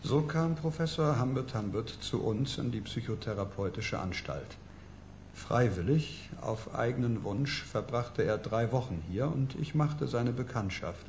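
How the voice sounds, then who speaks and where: Low-voiced, composed male psychiatrist narrator. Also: darkish tone, slight background noise. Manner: measured, clinical storytelling. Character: composed male psychiatrist recounting a patient's case. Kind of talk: radio play narration.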